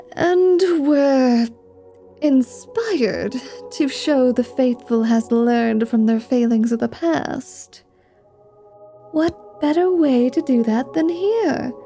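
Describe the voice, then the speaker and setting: A woman's voice: high-pitched, dark tone. A woman playing a sly, flirty, irreverent vampire who poses as a pious paladin, teasing and sarcastic, in an ASMR roleplay drama. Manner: teasing, sarcastic, feigned piety.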